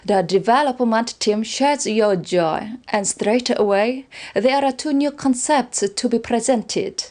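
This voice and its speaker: A woman's voice: a little high, slow pace, darkish tone. A woman playing a loyal, formal weapons scientist of an empire, giving orderly briefings in a game cutscene. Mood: formal, dutiful.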